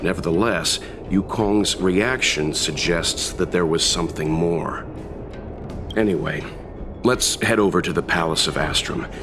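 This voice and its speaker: A courteous, thoughtful traveler in a video game. His voice is deep and his talk is polite and reflective, with gentle dry humor. Music runs clearly underneath. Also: measured pace. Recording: music behind the voice.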